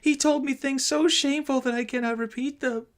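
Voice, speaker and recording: A distressed little girl from an audiobook, acted by a man, pitched far above a grown man's voice. The delivery is trembling and halting, full of shame and pleading. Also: steady tone. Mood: ashamed, pleading. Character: tearful twelve-year-old girl, ashamed and pious. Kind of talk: dramatised audiobook reading.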